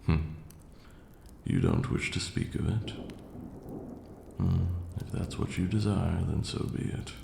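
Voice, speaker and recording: A man's voice: low voice, slow, creaky, pauses often, roomy sound, noisy background, heavily acted. A man playing a haughty but caring vampire lord in an ASMR roleplay, speaking formally and sternly. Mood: quiet, attentive.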